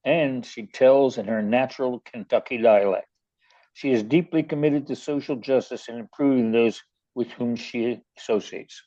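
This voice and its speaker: A man's voice: medium pitch, mellow. Older baltimore guy rambling thru a story on radio, lots of stammery restarts, kinda muffled sound. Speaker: radio show host. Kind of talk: casual storytelling chat.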